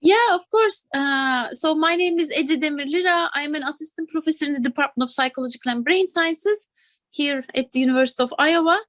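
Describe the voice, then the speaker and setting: A woman's voice: high-pitched, a little fast, dry recording. A woman from Turkey, an assistant professor in her thirties, talking casually as an interview guest and often adding "right?". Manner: chatty, adds "right?" and soft laughs.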